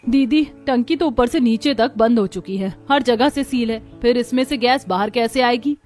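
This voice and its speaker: A woman's voice: a little high, normal speed, darkish tone, lightly acted. A woman voicing a curious, doubting younger daughter-in-law in a village cartoon, with a questioning, fretful manner. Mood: curious, doubtful. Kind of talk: scripted cartoon dialogue.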